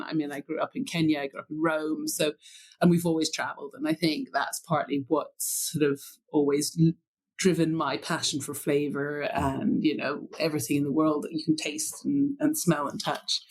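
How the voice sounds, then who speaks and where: A woman's voice: sits low, little pitch movement, moderate pace. A woman in her forties with a mixed British and American accent, chatting as an interview guest, bubbly and laughing often, with lots of fillers. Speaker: guest, wine buyer. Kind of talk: casual interview chat.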